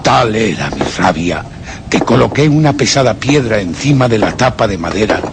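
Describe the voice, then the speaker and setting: An actor playing a cold, vengeful murderer who narrates a radio horror drama in deliberate, literary Castilian Spanish. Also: male voice, fairly low pitch, background noise. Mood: bitter, vengeful. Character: cold, vengeful male murderer recounting his crime.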